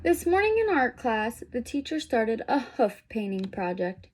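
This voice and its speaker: A woman plays a young boy zebra from a children's storybook, sensitive and misunderstood. The voice is fairly high, with a plaintive, earnest way of telling troubles. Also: big pitch swings, unhurried, darkish tone, slight background noise. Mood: earnest, troubled.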